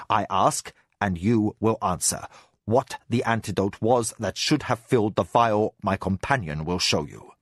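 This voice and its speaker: A man voicing a cold, menacing male spy master who speaks low, slow and measured. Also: no echo, clean studio sound, heavily acted.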